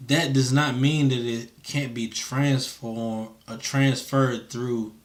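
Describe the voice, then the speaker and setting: A man's voice: medium pitch, steady tone, takes it slowly. A man talking casually as an interviewer, in an informal, slangy way, repeating short phrases for emphasis. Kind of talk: casual conversational chat.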